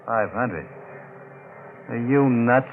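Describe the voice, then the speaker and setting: A guilty deep-sea diver with blood on his hands, a cornered man in an old radio mystery. The sound is narrow and hissy, like a worn vintage broadcast. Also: drawn-out words. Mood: suspicious, gruff. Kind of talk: old-time radio drama acting. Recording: noisy, narrow-band vintage radio recording.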